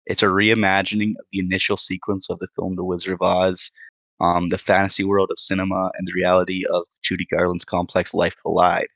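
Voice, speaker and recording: Deep-voiced young man, laid-back talk. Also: level tone, brisk, vocal fry, a bit bright, no echo, low-quality recording. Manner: laid-back, rambling, laughs at his own jokes. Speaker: podcast co-host. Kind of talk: casual chat.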